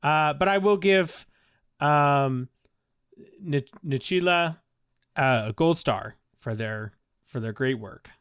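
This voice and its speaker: A man with a fairly high, smooth and resonant voice, recorded close and dry. He plays an earnest, self-serious student, stammering as he restarts his sentences. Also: takes it slowly, pauses often, fairly bright tone, no echo. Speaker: student in a mock class. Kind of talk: comedic improvised roleplay.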